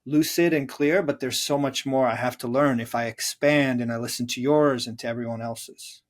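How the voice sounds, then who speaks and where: A man's voice: mid-range voice, steady tone, moderate pace. A man speaking as an interview guest, reflecting in an earnest, thoughtful and explanatory way. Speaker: interview guest, therapist and author.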